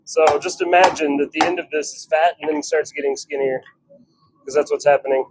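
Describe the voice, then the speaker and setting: A man's voice: a little high, steady tone, a little fast, very noisy. A man chatting informally on a livestream while he works, talking half to himself and half to viewers. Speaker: livestream host, hobby blacksmith. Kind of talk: casual livestream chat while working. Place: home forge workshop.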